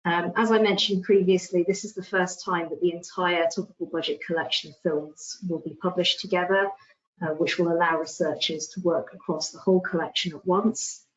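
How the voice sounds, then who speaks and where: Female webinar presenter, lowish pitch, informal and hesitant delivery, dull online-call recording. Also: fairly even intonation, fairly quick.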